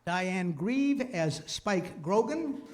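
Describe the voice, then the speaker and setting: A man hosting a community show, informally reading thanks and credits in a halting, hesitant way. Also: high voice, lively intonation, big-room sound, very noisy. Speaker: host of a community radio-play show. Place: hall with a live audience.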